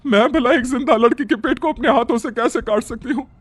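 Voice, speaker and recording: A man's voice: extremely high pitch, fairly quick, pitched above the performer's own voice. A man voicing a frightened but determined village aunt with an urgent, pleading manner in a narrated horror story. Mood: horrified. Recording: background music under the voice.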